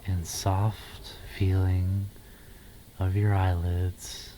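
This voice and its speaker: Slow, soft, half-whispering man, mellow and low. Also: level tone, a little hiss or hum, low-quality recording. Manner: soothing, slow, gentle, pausing between phrases. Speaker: ASMR host guiding meditation. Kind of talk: guided meditation ASMR.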